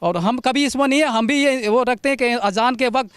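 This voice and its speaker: A male villager arguing his case to a reporter in halting, broken sentences. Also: very high voice, fairly bright tone, clear, resonant tone, dry recording. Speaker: local villager being interviewed. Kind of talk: spontaneous argument to a reporter.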